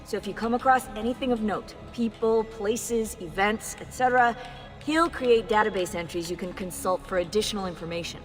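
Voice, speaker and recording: An actress playing an upbeat young tech expert, boastful and enthusiastic, in a game cutscene. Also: female voice, medium pitch, brisk, big-room sound, faint music behind, natural voice. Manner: excitable, boastful. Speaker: technician running the machine.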